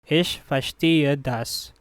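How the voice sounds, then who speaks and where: Slow drill reader for a phrase lesson, dry close sound, each line repeated evenly. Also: medium pitch, dry recording. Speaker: language lesson voice.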